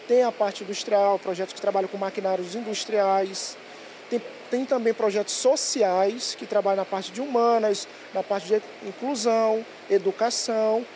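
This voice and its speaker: A man's voice: high-pitched, dark tone, a little hiss or hum. A man in his thirties, a teacher, talking proudly in an interview in a school workshop into a handheld mic. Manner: proud and explanatory. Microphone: handheld reporter mic.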